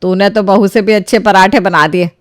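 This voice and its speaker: A woman's voice: medium pitch, steady tone, moderate pace, no echo, clean studio sound, close to an everyday voice. Motherly, credulous mother-in-law, acted by a woman. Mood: delighted. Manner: motherly, easily swayed. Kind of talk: dramatized Hindi moral story acting.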